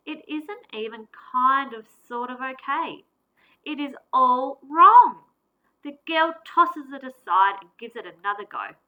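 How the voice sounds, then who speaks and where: Woman teacher for a storybook read-aloud, slightly high and bright, unhurried and expressive. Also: low-quality recording. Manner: expressive, deliberate storytelling with varied emphasis. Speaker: primary school teacher. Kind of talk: reading a picture book aloud.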